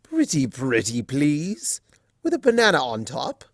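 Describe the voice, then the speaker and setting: A performer, a man, voicing a flamboyant, teasing male chimera trickster from a cartoon fan story, with theatrical drawn-out emphasis. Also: medium pitch, huge pitch swings, dry recording, clean studio sound, pitched above the performer's own voice, heavily acted. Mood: coaxing.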